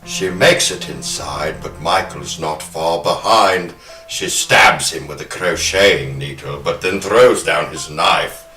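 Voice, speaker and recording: A man's voice: extremely low pitch, slow pace, bright, forceful tone. A man hosting a podcast, talking about film with a dramatic storyteller's delivery. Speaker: podcast host. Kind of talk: scripted narration of a film plot and round-table film talk. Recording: roomy echo, faint music.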